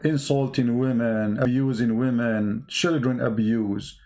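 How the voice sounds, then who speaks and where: A man's voice: medium pitch, fairly even intonation, a little slow, darkish tone. A man who speaks English as a second language, giving impromptu polemical commentary in an emphatic, repetitive way with rhetorical questions. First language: not English. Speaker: online commentator.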